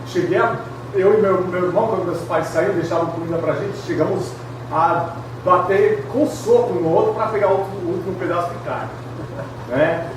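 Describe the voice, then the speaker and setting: A man's voice: high-pitched, moderate pace. A middle-aged Brazilian man preaching at a retreat, telling personal stories in a chatty, humorous way with frequent tag questions. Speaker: pastor, retreat speaker. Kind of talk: humorous personal testimony sermon. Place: large echoey hall at a retreat. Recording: very echoey hall, distant mic, background noise.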